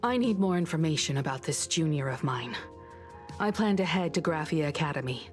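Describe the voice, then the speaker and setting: An actress voicing a grave, brooding senior investigator in a video game, speaking in a measured, solemn way. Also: female voice, sits low, animated, faint music behind. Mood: resolute. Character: grave, brooding senior investigator woman, troubled by past tragedy. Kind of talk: dramatic video game voice acting.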